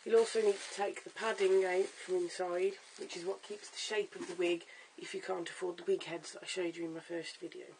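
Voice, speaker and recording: A hoarse, croaky-voiced vlogger giving a casual at-home wig tutorial in a chatty, stop-start way, filmed on a camera's own mic. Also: mid-range voice, level tone, a little fast, hardly any pauses, a little mellow, a little hiss or hum, low-quality recording. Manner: chatty, stop-start with fillers and self-corrections. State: hoarse, lost voice. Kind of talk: casual how-to demonstration.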